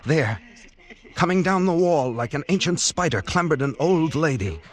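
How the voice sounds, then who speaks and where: A man's voice: fairly high pitch, animated, moderate pace, close to an everyday voice. An actor playing a sensitive, polite male poet who narrates an old-time radio drama in an earnest, literary manner. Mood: awed, uneasy.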